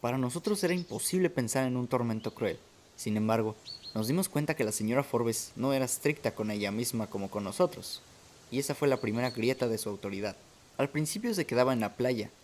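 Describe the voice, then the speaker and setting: A man's voice: medium pitch, expressive, a little fast, a little mellow, slight background noise. A man reading scripted first-person story narration as the narrator of a radio drama.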